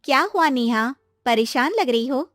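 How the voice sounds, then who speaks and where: Woman voicing a chatty young college girl, lively. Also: sits high, normal speed, no room sound, studio quality, faint music behind. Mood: helpful. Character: chatty young college girlfriend, well-meaning and sensible.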